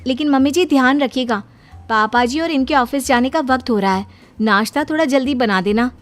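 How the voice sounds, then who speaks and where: A woman's voice: high-pitched, fairly quick, close to an everyday voice. A woman voicing an exasperated, dutiful young daughter-in-law with a plaintive, complaining manner. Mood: worried. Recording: music under the voice.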